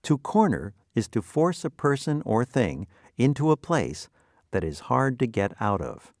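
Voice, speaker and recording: A man narrating a scripted vocabulary lesson in deliberate, clearly separated phrases. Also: mid-range voice, very animated, darkish tone, no echo, clean studio sound.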